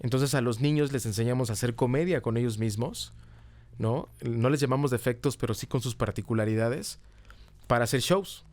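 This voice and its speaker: A man's voice: medium pitch, moderate pace, a little mellow, no echo. A middle-aged man with a Mexican accent, explaining things casually in an interview and often ending phrases with a checking tag. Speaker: podcast guest, comedy teacher and writer. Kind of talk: casual conversational interview answers.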